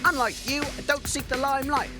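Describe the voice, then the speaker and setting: A man's voice: extremely high pitch, fairly even intonation, a little fast, music in the background. A man with a British accent playing an indignant, self-righteous radio DJ, delivering bickering lines theatrically in a comic musical audio drama. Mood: accusing.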